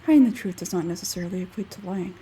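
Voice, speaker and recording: A woman voicing an aloof, logical, smug scholarly man from a game, measured and condescending, with a husky forced-low tone. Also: warm tone, recorded from a distance, a little hiss or hum, lightly acted. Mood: measured, explaining.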